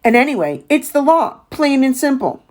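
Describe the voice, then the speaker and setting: A woman's voice: sits high, big pitch swings, normal speed, pitched above the performer's own voice. A woman voicing a pompous, bullying male tax official in a storybook, with a demanding, authoritative manner. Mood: threatening. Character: short, pompous, bullying male tax man, city slicker. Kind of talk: storybook read-aloud character voice.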